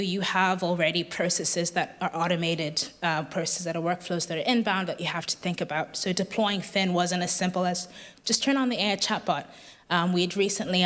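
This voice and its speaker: A woman's voice: fairly low pitch, a bit bright. A woman presenting at a business event, explaining things in a conversational way. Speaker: presenter from a software company's customer support side.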